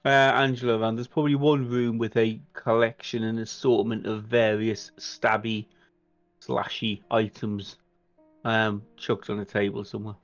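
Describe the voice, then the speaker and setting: A man's voice: lowish voice, level tone, moderate pace, highs cut off, faint music behind. A man improvising in a tabletop role-playing game. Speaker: tabletop role-play player.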